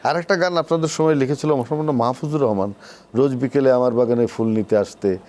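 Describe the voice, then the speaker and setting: A man's voice: mid-range voice, brisk, a little mellow. A man with a Bangladeshi accent hosts a cultural talk show, speaking conversationally as he puts questions to his guest. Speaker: TV talk show host, poet. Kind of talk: conversational cultural interview hosting.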